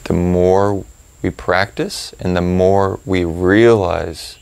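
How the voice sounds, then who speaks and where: A man leading a guided meditation as a teacher, calm and unhurried, leaving long pauses as he gives instructions. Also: low-pitched, creaky, clean tone, dry recording.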